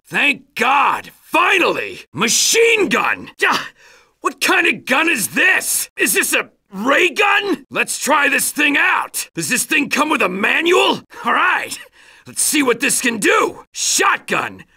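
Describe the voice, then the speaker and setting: A man's voice: very high voice, vocal fry, sharp tone, husky, full character voice. A man playing a brash, aggressive, foul-mouthed American Marine in a combat video game, exclaiming his lines.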